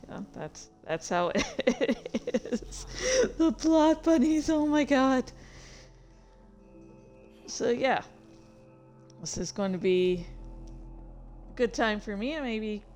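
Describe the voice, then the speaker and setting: A woman streamer chatting casually about her own writing in a halting, rambling, self-deprecating way. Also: medium pitch. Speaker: streamer and fan fiction author. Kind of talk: casual livestream chat.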